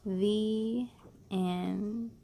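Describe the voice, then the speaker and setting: A woman in her thirties reading aloud to children as a storytime host, with an encouraging, animated manner and simple phrasing. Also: medium pitch, extremely slow, gravelly, slight background noise. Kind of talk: children's read-aloud, addressing young listeners.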